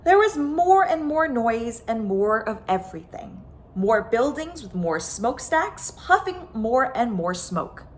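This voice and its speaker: A woman reading a children's picture book aloud, expressive and dramatic, stretching words for emphasis. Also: on the high side, unhurried. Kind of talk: animated children's story reading.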